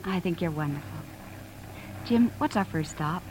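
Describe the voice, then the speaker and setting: An American actress playing a devoted wife in a 1950s radio drama, speaking tenderly and reassuringly. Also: female voice, on the low side, lively intonation, normal speed, darkish tone, lightly acted, poor audio. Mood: tender, soothing. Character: devoted, soothing wife, mildly questioning. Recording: old radio broadcast, faint noise.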